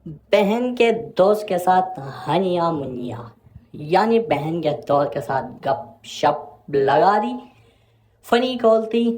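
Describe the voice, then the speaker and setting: A man's voice: a little high, a little mellow. A man hosting a video channel, narrating an outro addressed to his viewers. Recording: faint background noise and ambience.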